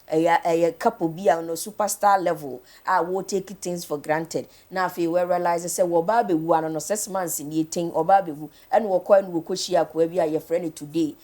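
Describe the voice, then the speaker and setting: A woman's voice: lowish voice, darkish tone. Ghanaian woman chatting as a morning host. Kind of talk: casual chatty interview, code-switching between English, Pidgin and a local language.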